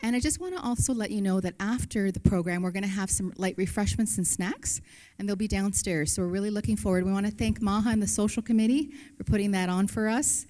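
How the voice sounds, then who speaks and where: A woman's voice: mid-range voice, fast talker, mellow. A woman hosting a seminar, who introduces the speaker in a friendly, chatty way with some hesitant fillers. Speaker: event host.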